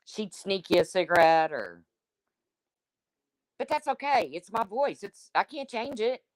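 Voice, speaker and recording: A woman with a deep, husky smoker's voice hosting a livestream, reading chat comments and answering them in a blunt, chatty way. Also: lively intonation, measured pace, dry recording. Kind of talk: casual chat with viewers.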